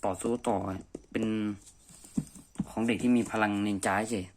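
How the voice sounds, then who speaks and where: A man's voice: fairly low pitch, fairly even intonation, moderate pace. A man chatting informally and unscripted as an amateur reviewer, thinking aloud in a room at home. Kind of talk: casual unscripted review chat. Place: messy room indoors. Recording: clearly noisy amateur home recording.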